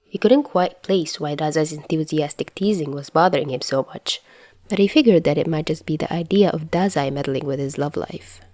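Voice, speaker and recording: Woman narrator, low-pitched, close dry sound, scripted story reading. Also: moderate pace, a little mellow, no echo. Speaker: narrator reading fan fiction.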